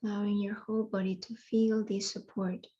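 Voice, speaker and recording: A woman guiding a yoga nidra meditation in a soothing way, leaving long pauses between phrases. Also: mid-range voice, flat delivery, warm tone, dull audio. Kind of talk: guided meditation.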